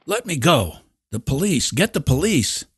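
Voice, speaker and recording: A man's voice: fairly high pitch, big pitch swings, measured pace, background noise, voice pushed up. A man reading a short story as a panicked, desperate small-town store owner, pleading and rattled. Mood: frantic, shouting. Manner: frantic shouting, pleading, later shaky and weary. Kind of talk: audiobook character acting.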